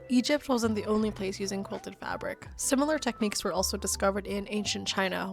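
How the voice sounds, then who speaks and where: A young adult woman hosting a relaxed craft podcast, telling history in a soft, chatty storytelling way with casual asides. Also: medium pitch, creaky. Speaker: podcast host.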